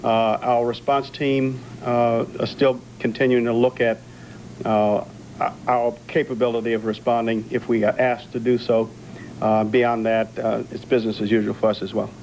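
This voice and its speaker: Matter-of-fact man with a mid-pitched voice. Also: little pitch movement, normal speed, darkish tone, poor audio. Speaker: emergency response official. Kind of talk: public briefing update. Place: press briefing at emergency operations center. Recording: faint noise, archived TV broadcast tape.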